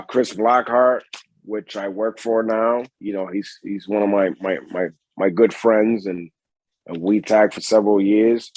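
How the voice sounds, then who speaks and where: A man's voice: lowish voice, a little mellow, no echo, low-quality recording. Puerto Rican man, rambling interview talk. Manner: rambling, many restarts and fillers. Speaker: pro wrestler guest. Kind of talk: casual interview.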